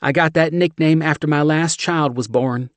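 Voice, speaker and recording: A man's voice: a little high, normal speed, dry recording, pitched above the performer's own voice, natural voice. A man voicing a brash, flirtatious woman. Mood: brash flirty. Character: brash flirty Southern woman, loud and bold.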